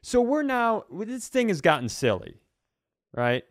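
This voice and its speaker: A man's voice: sits high, big pitch swings, unhurried, no echo, studio quality. A man co-hosting a comedy podcast in casual banter, emphatic and sweary with mock outrage.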